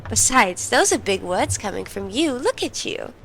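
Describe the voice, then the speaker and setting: A woman's voice: on the high side, sing-song, normal speed, fairly bright tone, heavily acted, poor audio. A woman playing a teasing, cheeky young woman in an audio drama, with a playful, wryly dramatic manner.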